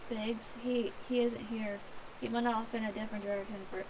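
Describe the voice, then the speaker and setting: A woman's voice: a little high, flat delivery, moderate pace, noisy background. A woman game streamer reading quest dialogue aloud in character, sometimes stumbling and restarting her lines.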